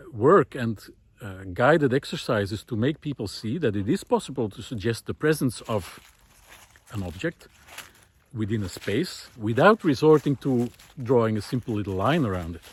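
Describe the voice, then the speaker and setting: A middle-aged man thinking aloud in an informal, reflective monologue, often pausing mid-phrase as he searches for words. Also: mid-range voice, expressive, slightly rough. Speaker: art teacher giving a recorded monologue. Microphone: close recorder carried while walking.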